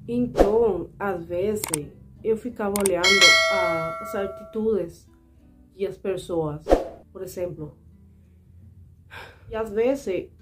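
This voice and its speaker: A woman's voice: mid-range voice, a little mellow. A woman in her twenties from Cuba, speaking with a Cuban Spanish accent, telling personal stories earnestly and indignantly to her viewers. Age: 20s. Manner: earnest, indignant, repeatedly addressing viewers as "gente". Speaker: vlogger. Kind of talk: personal storytelling to camera.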